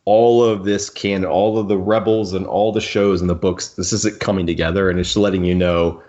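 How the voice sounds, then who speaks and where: Low male voice, casual fan podcast co-host, enthusiastic, dull lo-fi mic. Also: level tone, brisk, a little mellow. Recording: dull, lo-fi microphone sound.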